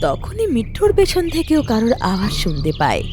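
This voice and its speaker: Animated woman narrating a cartoon tale. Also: fairly high pitch, moderate pace, over music. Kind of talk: dramatic scripted story narration for cartoon.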